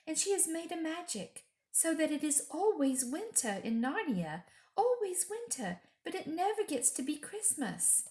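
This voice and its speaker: A woman's voice: high voice, big pitch swings, a little slow, darkish tone, voice pushed up. A woman voicing an earnest, trusting young girl in a storybook, full of eager wonder. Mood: hushed, grave. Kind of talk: storybook character reading. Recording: echoey room.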